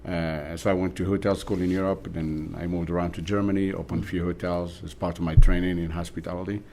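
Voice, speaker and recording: A very deep-voiced man who grew up in southern France talks through his career in a relaxed, easygoing interview. He speaks with fillers, and faint noise sits under his voice. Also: quick pace, gravelly. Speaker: hotel general manager. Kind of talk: casual interview answers.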